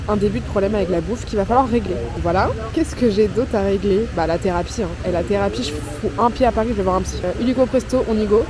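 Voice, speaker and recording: A woman's voice: medium pitch, rough recording. A woman in her twenties talking casually and reflectively to her viewers as a travel vlogger, with a cold and a sore throat. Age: 20s. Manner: chatty, reflective, speaks to viewers as friends. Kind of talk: casual confessional vlog chat. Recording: clear outdoor street noise behind.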